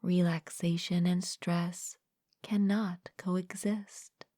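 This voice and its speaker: A woman leading a guided meditation as a mindfulness coach, speaking gently and calmly in a soft, breathy, partly whispered voice, close to the mic. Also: lowish voice, no room sound. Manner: gentle, calm, unhurried with long pauses. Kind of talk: guided meditation, soothing scripted narration.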